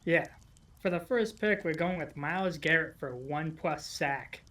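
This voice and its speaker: A man's voice: fairly high pitch, measured pace, a bit bright. A man co-hosting a podcast, giving sports picks in casual, colloquial talk.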